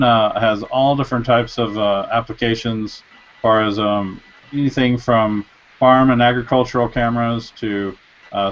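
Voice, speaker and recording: Low-voiced host on dull webcam audio. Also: male voice. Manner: upbeat host, repeats "excellent". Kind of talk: live online interview hosting. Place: home office.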